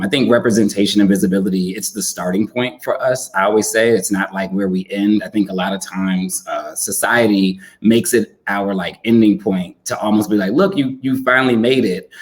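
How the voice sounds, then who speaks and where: Low-voiced man, reflective panel guest. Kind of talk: conversational panel discussion.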